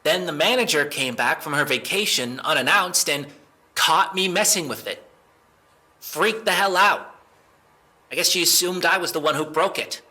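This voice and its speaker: An actor playing a defensive young man in an audio drama, halting and sweary, insisting he is innocent. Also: sits high, pushed and bright. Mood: uneasy, confessing. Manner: halting, sweary, emotional delivery.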